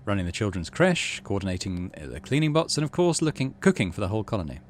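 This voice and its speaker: Man with very animated pitch, musing game commentary. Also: fast, a little hiss or hum. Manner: musing, thinking aloud. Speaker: gaming channel host. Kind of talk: casual game commentary and narrated text reading.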